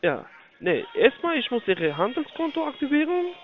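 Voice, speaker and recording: A high-voiced man with a foreign accent works through a telephone sales pitch. His intonation swings widely as he repeats himself in halting, grammatically broken phrases. The call audio is dull and lo-fi. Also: wildly swooping pitch. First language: not German (non-native speaker). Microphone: phone call.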